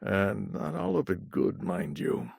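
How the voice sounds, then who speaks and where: Casting: a smooth, wry corporate dealmaker for a video game; gravelly tone, lively intonation, dry close recording. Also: male voice, low-pitched, takes it slowly, no echo, exaggerated voice. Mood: intrigued, measured. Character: smooth, shrewd, courteous male corporate business negotiator. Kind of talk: video game dialogue lines.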